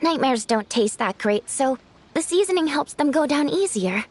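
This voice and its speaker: A woman voicing a small, round, polite dream-eating creature in a video game, earnest and helpful as it gently explains things. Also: high-pitched, animated, moderate pace, background noise. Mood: helpful, careful.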